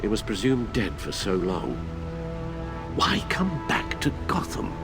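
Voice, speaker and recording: An actor playing a loyal, careworn older British butler in a video game, polite and formal in manner. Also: male voice, on the low side, wildly swooping pitch, measured pace, full character voice. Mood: grave, uneasy. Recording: music behind the voice.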